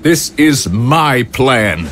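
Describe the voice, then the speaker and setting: An actor playing an imperious, impatient consul in an audio drama, speaking with stern, formal authority. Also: male voice, medium pitch, animated, extremely slow, fairly bright tone. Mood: angry, commanding. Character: imperious, impatient male consul commanding an army.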